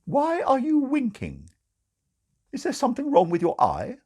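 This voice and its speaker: A matronly senior nun, pitched high and swooping. She is brisk, firm and bossy, with a condescending, bustling air suited to a comic audiobook. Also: wildly swooping pitch, a little mellow, full character voice. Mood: impatient, patronising.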